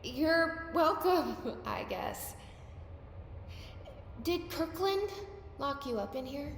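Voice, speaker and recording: An actress playing a composed, dryly wry game heroine who muses to herself in an understated way. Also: female voice, high-pitched, expressive, very slow, slight background noise, full character voice. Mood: puzzled, wry.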